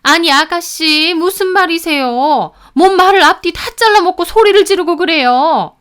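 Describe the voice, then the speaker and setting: An indignant sister-in-law in a story drama , performed by a woman narrator. She sounds high and affronted, protesting defensively while keeping polite speech forms. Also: moderate pace, fairly bright tone.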